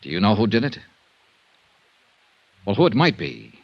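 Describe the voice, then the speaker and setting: An actor playing a cool, tough, persistent insurance investigator, dryly delivered in 1950s radio detective style. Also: male voice, lowish voice, sing-song, moderate pace, creaky, full character voice. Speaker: lead character and narrator. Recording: muffled 1950s radio recording.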